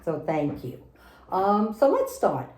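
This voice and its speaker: A woman's voice: medium pitch, huge pitch swings, slow pace, darkish tone, roomy sound. A woman judge hosting a formal interview, gracious and formal with some hesitant fillers.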